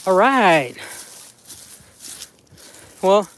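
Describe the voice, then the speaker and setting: A man's voice: sits high, big pitch swings, extremely slow, a bit bright. A man from the San Fernando Valley with a Mexican American accent, chatting casually to a livestream from outdoors and thanking viewers by name. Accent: Mexican American, Los Angeles. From Los Angeles, San Fernando Valley. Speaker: livestream vlogger. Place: outdoors by a mountain slope.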